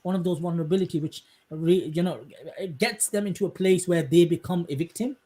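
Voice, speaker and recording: Male podcast host, slightly high voice, polite, halting, repeats himself while finding the question. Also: warm tone. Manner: courteous, hesitant, repeats words while searching for phrasing. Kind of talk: conversational interview.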